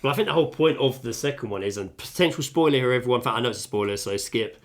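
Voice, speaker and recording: A man's voice: mid-range voice, very fast. A man co-hosting a podcast, chatting casually about films around a kitchen table, swearing freely. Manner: sweary, laid-back film chatter. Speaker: podcast co-host. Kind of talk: casual chat. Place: home kitchen.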